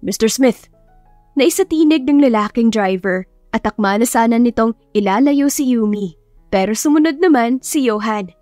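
A woman's voice: fairly high pitch, lively intonation, moderate pace, dry recording. A woman with a Filipino accent reading a romance story as scripted, dramatic audiobook narration. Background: soft background music.

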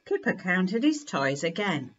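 A middle-aged woman reading a children's picture book aloud in a deliberate, expressive way at home. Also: on the low side, dull audio, slight background noise. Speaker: story reader. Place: living room at home, indoors.

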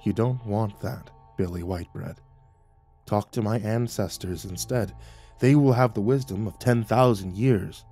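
Audiobook shaman played by a man: low-voiced, calm, unhurried, unbothered mystic with dry teasing, over music. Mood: calm, solemn. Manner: calm, unhurried, matter-of-fact delivery. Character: patient, unconcerned Navajo shaman man, dryly teasing mystic. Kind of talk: audiobook character dialogue.

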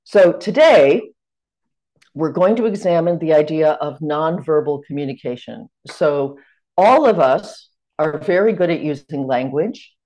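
A middle-aged woman with an American accent, leading a teaching workshop over a video call from a room at home. She talks in a measured, welcoming way, the tone of an online lecture. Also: low-pitched, lively intonation, mellow, low-quality recording. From United States.

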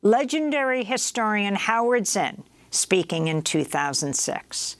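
Woman news host, lively dry read. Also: medium pitch, unhurried, no echo. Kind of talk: scripted news narration. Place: TV studio.

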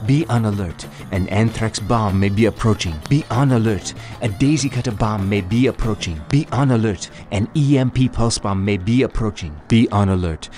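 A man voicing a measured, dutiful military battlefield advisor in scripted announcements for a strategy video game. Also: on the low side, a little mellow, natural voice. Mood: alert, formal. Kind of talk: scripted game tutorial and status alerts. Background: music.

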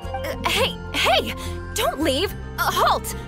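A woman's voice: fairly high pitch, very animated, moderate pace. The haughty lady knight you'd meet in a fantasy game's town square, lecturing commoners grandly over the soundtrack. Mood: indignant, vengeful. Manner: imperious, archaic formal phrasing, exasperated sighs. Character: proud, haughty young noblewoman knight, stiffly formal. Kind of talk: video game character acting. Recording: clean voice over game music. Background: game background music.

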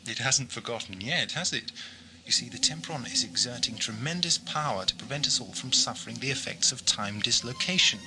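A man playing a brainy, eccentric time-travelling adventurer in an audio drama, brisk and explanatory. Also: medium pitch, expressive, a crowd in the background, close to an everyday voice, rough recording. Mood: keen, thoughtful. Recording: music behind amateur audio drama.

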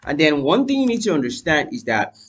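A young man hosting a screen-recorded software tutorial at his home computer, talking viewers through each step and spelling out what he types. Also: a little high, a little fast. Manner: lively, addresses viewers as "you guys", spells out letters while typing. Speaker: tutorial host. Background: keyboard typing.

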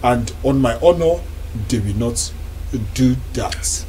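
A young man with a Nigerian accent hosting a studio talk show, measured as he relays news and asks questions. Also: medium pitch, lively intonation, dark tone, a little hiss or hum. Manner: measured, reports news then poses questions. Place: TV studio lounge set.

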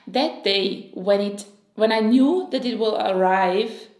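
A woman in her thirties from Switzerland, Croatian by first language, gushing excitedly through a beauty unboxing to camera at home. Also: mid-range voice, takes it slowly, echoey room. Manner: excitable, gushing, with sighs and small laughs. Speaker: beauty vlogger. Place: home room, indoors.

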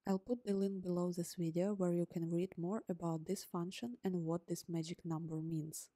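A woman whose first language is Ukrainian, calmly narrating a scripted coding tutorial step by step as host. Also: on the low side, normal speed, dry recording. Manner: calm, step-by-step explaining. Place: voice-over on screen recording.

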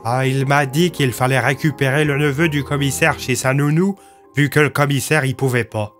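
A man's voice: medium pitch, fairly even intonation, normal speed, fairly bright tone, soft background music, close to an everyday voice. A man voicing a naive, dim-witted, chatty police sergeant in an audio story, hesitant and guileless. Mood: hesitant, earnest.

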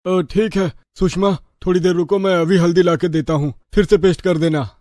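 A notably high-pitched older father played by a man in a cartoon family tale, mild and worried. He speaks earnestly, with a dry, close sound. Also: fairly even intonation, a little fast, darkish tone, dry recording, natural voice. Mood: concerned. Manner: earnest, concerned.

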